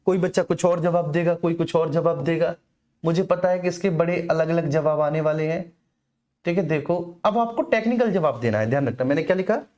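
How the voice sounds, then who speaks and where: Mellow-voiced male teacher. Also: on the high side, fairly quick. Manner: repetitive, explanatory, addressing students directly. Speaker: teacher of exam preparation class. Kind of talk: informal exam coaching lecture.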